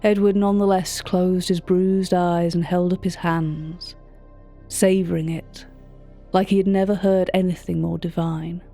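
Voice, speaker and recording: Female curator, voiced by an actress, lowish pitch, mellow tone, measured literary delivery, eerie story narration, faint music behind. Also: level tone. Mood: hushed wonder. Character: curious, polite female auction catalogue curator telling eerie tales. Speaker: narrator of an audio fiction podcast. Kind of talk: scripted first-person narration.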